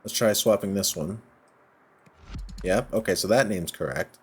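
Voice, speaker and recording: A man giving casual gameplay commentary, thinking out loud in short, halting phrases. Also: lowish voice, breathy edge. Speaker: let's play host. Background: music.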